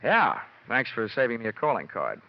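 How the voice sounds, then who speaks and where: An actor playing a cocky, wisecracking insurance investigator in an old radio detective drama, with a sardonic, slangy delivery. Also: male voice, medium pitch, very animated, normal speed. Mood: sardonic, guarded. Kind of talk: 1940s-50s radio detective drama acting. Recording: dull vintage radio recording, music behind.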